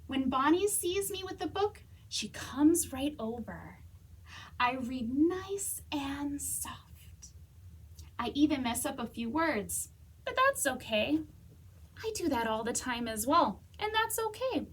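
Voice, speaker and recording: Woman, children's storytime reader, very animated pitch, unhurried pace, dramatic whispers. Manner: expressive, with dramatic pauses and whispers. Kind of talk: animated children's read-aloud.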